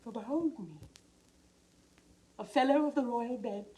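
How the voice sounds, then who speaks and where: A woman acts out a noble queen wrongly accused, in a classical stage drama. Her voice is high, with a British accent, and her formal, measured delivery carries steadfast dignity. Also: natural voice, poor audio. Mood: sorrowful, dignified. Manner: formal, measured diction, sometimes dropping to hushed tones. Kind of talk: classical Shakespearean stage acting.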